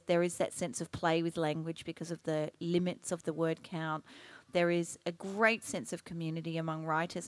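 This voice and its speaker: Low-voiced woman, dry close sound. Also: no room sound. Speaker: writer, festival panellist. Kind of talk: conversational panel discussion.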